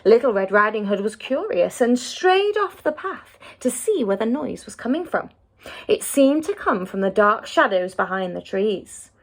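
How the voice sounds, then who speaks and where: Woman, fairly high voice, very animated storybook narration for young children. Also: normal speed. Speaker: teacher reading aloud to young children.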